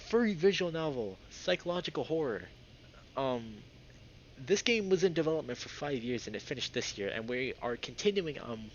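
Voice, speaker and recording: A man live-streaming a visual novel, reading it aloud and chatting casually with viewers. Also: medium pitch. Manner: chatty, greets viewers by name. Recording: dull lo-fi microphone, faint noise.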